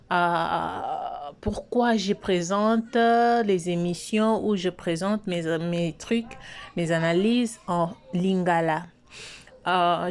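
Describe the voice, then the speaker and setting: A woman, telling a story to her subscribers at an unhurried, drawn-out pace. Her voice sits fairly low. She hesitates often, stretching her words and restarting her sentences as she goes. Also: a little mellow. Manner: slow, hesitant, many fillers and restarts. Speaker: online storyteller host. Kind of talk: casual spoken storytelling to subscribers.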